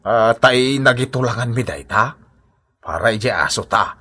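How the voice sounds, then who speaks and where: An actor playing a proud, blustering old Ilocano father-in-law in a comedy radio drama, with a husky voice and an exclamatory, drawling delivery. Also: medium pitch, lively intonation, moderate pace, bright, forceful tone. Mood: pleased, scheming. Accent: Filipino, Ilocano.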